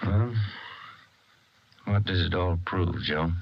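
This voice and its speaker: A man's voice: deep voice, slow, creaky, full character voice. An actor playing a sombre, weary man in a 1940s radio police drama. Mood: sombre, subdued. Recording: dull vintage radio recording with music.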